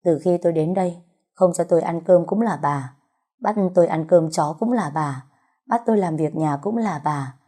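Woman acting orphaned young woman, defiant, sharp-tongued, dark low timbre, audio storybook. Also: normal speed, close to an everyday voice. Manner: blunt, standing her ground.

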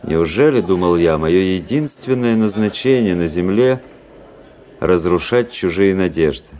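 An actor playing a cool, melancholy, cynical young gentleman officer in a Russian radio play, speaking with detached irony and introspection. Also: low voice, expressive, a little slow. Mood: brooding, melancholy. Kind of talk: Russian radio play acting, literary narration. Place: studio radio drama, music behind.

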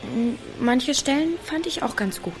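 A woman's voice: high-pitched, animated, a little slow, over music. A woman playing an uneasy, reserved teenage village girl in a naturalistic radio drama, giving terse, hesitant replies. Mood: uneasy, defensive.

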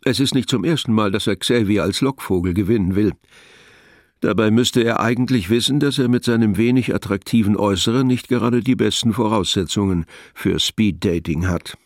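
A man narrating a scripted radio play in a measured, matter-of-fact manner. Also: lowish voice, no room sound, clean studio sound.

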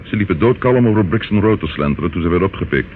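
Wry gruff police inspector, old radio play, very swooping intonation, narrow tinny recording. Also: male voice, sits low, quick pace. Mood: grumbling. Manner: wry, colloquial, theatrically expressive. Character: gruff, wry male London police inspector, hot-tempered with suspects. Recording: muffled phone-like old radio recording, faint noise.

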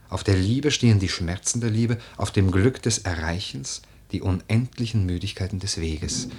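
An actor playing an earnest, melancholy nobleman in a literary radio drama, speaking in a soft, husky voice with grave, measured phrasing. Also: deep voice, full character voice. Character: earnest, melancholy nobleman, the widow's admonishing cousin.

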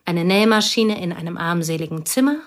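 An actress playing a provincial woman recalling an old school friend, speaking quietly and wistfully in a radio drama. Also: on the low side, brisk, lightly acted. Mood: bitter. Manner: quiet, wistful, partly whispered.

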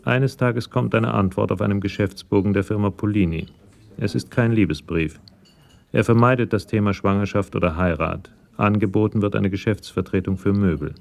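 A man narrating a scripted crime radio play in a measured, matter-of-fact storytelling manner. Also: fairly low pitch, vocal fry, darkish tone.

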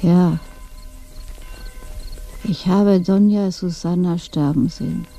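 An actor playing a frail village gossip in a radio drama, recounting a death. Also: male voice, sits high, fairly even intonation, slow pace, vocal fry, warm tone, faint music behind, full character voice. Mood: confiding. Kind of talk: German radio drama acting.

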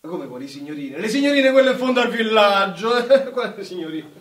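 An actor playing a bitter, worldly young Italian soldier of the First World War, talking colloquially and insistently. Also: male voice, high-pitched, lively intonation, brisk, fairly bright tone. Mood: teasing, wistful. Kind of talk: live stage or radio war drama acting. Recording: roomy, distant microphone, music behind.

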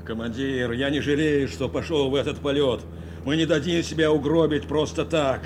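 A man's voice: mid-range voice, steady tone, moderate pace, close to an everyday voice. An actor playing a loyal, steadfast male navigator in a war audio drama, addressing his commander earnestly, with engine noise behind. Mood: resolute. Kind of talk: Soviet audio drama acting.

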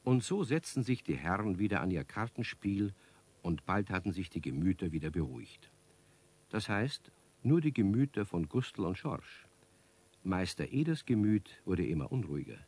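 A man narrating a children's radio play as a friendly, dryly amused storyteller with a deep, husky voice. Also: sing-song, moderate pace, a little mellow, exaggerated voice. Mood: wry, calm.

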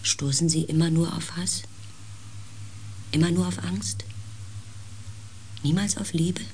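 An actor playing a compassionate narrator and protagonist in a science fiction radio play, gentle and reflective, over faint background noise. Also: male voice, a little high, fairly even intonation, takes it slowly. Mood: earnest, troubled. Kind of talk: radio drama narration and dialogue.

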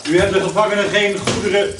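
An actor in a radio play as a stern, curt, unyielding prison guard. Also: male voice, high voice, fairly even intonation, quick pace, lightly acted. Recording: echoey, distant, noisy background.

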